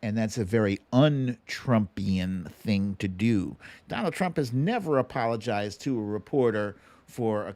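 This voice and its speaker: Animated, casual political commentator. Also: mid-range voice. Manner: conversational, swearing; acts out quotes animatedly. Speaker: show host.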